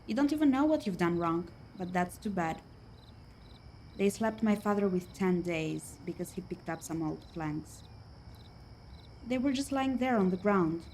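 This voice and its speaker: A woman's voice: fairly low pitch, a little hiss or hum. A woman acting a worldly, chatty, poor young woman in a radio play, confiding and folksy.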